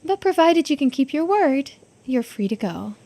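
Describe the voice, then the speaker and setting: A woman voicing a teasing, shrewd investigator in a visual novel, with a knowing, theatrical, drawn-out delivery. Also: high-pitched, sing-song, mellow. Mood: cool bargaining.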